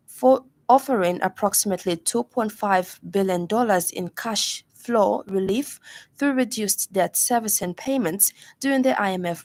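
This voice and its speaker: Lady reading the evening radio news, formal and measured, studio mic. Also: mid-range voice. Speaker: news reader.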